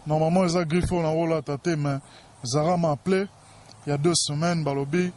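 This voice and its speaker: A man's voice: a little high, steady tone, fast, a little mellow, slight background noise. A man with a Congolese accent speaking in a pompous, boastful, self-important way in a talk-show interview.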